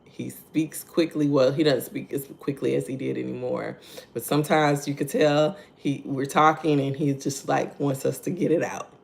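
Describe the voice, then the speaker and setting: A soft-spoken woman with a Texan accent, leading a slow, conversational morning devotional and greeting viewers by name. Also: rumbling low, steady tone, creaky. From Texas. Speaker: devotional host. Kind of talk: live-streamed morning devotional.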